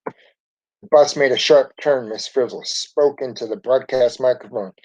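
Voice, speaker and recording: A man reading a picture book aloud for children in an expressive storytelling style. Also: medium pitch, unhurried, slight background noise. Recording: dull lo-fi home recording.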